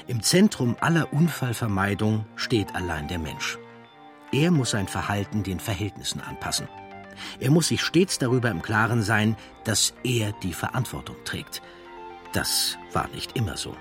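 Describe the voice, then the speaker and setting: A man reading scripted documentary narration in a measured, factual manner. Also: on the low side, very animated, over music.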